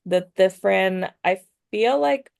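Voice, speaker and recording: A woman's voice: medium pitch, unhurried, creaky, darkish tone, clean tone, no room sound, studio quality. A woman, telling personal stories casually as a podcast guest, sometimes switching between English and Spanish. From Dominican Republic. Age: 30s. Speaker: podcast guest, clothing brand owner.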